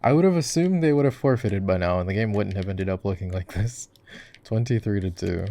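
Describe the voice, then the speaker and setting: A man in casual gaming chat for an ASMR roleplay, soft-spoken and murmuring as if to himself. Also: fairly low pitch, brisk. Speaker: voice actor roleplaying a gamer boyfriend. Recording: faint noise with keyboard and mouse clicks. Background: keyboard typing and clicking.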